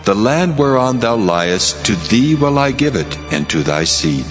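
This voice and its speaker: Man acting as God, low-pitched, cavernous echo, stately, deliberate, scripture drama over music. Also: very animated. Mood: solemn, promising. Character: God, solemn commanding divine voice making promises. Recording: long cavernous reverb.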